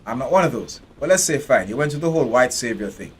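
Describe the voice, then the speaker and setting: A man's voice: medium pitch, expressive, fast talker, slight background noise. A man from Jamaica, giving blunt, profane live commentary while streaming a game.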